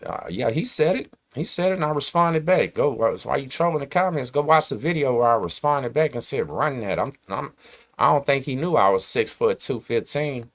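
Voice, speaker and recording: A man's voice: medium pitch, lively intonation, moderate pace, a bit bright. A man, hosting his channel and ranting to camera in emphatic streetwise slang. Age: 40s. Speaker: host. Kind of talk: casual rant to camera.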